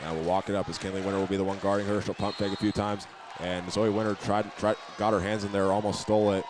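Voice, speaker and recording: A man giving steady, methodical live play-by-play commentary of a high school basketball game. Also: fast. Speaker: play-by-play announcer. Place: indoor school basketball gym. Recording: live gym broadcast with clear background noise.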